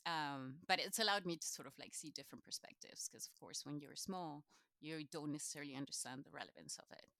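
A woman's voice: low-pitched, creaky, a bit bright, dry recording. A woman from Chile, a native Spanish speaker with a Chilean accent, chatting enthusiastically as an interview guest. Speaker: interview guest, knowledge exchange manager. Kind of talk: casual conversational interview answers.

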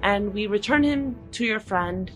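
A woman's voice: medium pitch, a little slow, a bit bright, poor audio. A woman talking casually as a player in a tabletop role-playing game, discussing what to do. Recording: music under the voice.

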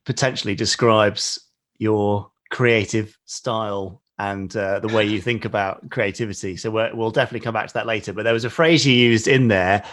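A man's voice: on the low side, fairly even intonation, moderate pace, a bit bright. A man hosting a podcast interview, playful and joking at his own expense. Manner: playful, jokey, self-deprecating. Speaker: podcast host. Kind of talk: casual interview.

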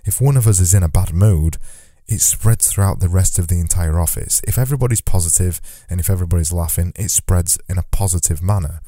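A man hosting a solo podcast, talking earnestly and reflectively, lifting a voice he calls naturally monotone. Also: low-pitched, fast, no room sound, studio quality. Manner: earnest, reflective, says he lifts his normally monotone voice. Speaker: podcast host.

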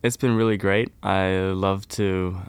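A young man from Norway, a folk musician, chatting casually and warmly in an interview, with lots of "kind of" and "like". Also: low voice, unhurried, smooth, no echo. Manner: relaxed, friendly, uses fillers like "kind of, like".

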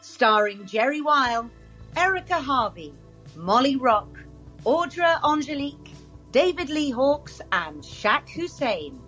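A woman's voice: high-pitched, very animated, slow, fairly bright tone, soft background music, low-quality recording. A woman announcer reading scripted opening credits for an audio drama.

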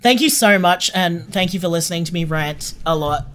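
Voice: mid-range voice, moderate pace, a bit bright. An Australian guest chatting casually in a podcast interview about creative work.

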